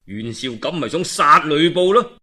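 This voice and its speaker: Cantonese guy telling old historical stories, really lively pitch swings, bit husky. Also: moderate pace. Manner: colloquial, animated, dramatic asides. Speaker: storyteller. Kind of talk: traditional oral storytelling of a historical novel.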